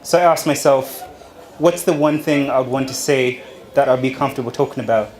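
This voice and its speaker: A man's voice: mid-range voice, a little mellow. Young man from Uganda, mid-range voice, personal talk, many ums and restarts, echoey hall. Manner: unhurried, with many fillers and restarts. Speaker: speaker giving a talk. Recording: echoey room.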